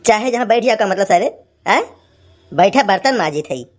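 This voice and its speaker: A woman's voice: mid-range voice, animated, moderate pace, lightly acted. A woman voicing a grumbling, teasing village husband in a cartoon comedy, with an Awadhi accent and a folksy, scolding manner.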